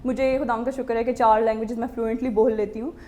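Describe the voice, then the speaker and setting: A woman's voice: fairly high pitch, level tone, fast talker, dark tone. A woman with a slightly strained throat, earnestly answering interview questions with English words mixed in. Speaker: talk show guest, charity worker.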